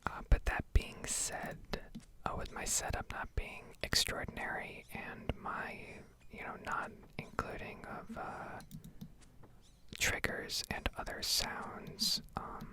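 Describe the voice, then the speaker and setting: A woman whispering and speaking very softly in a hesitant, unhurried ASMR ramble, recorded at home on a desktop microphone. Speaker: streamer making ASMR gaming videos. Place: indoor home setup. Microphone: Blue Yeti desktop microphone.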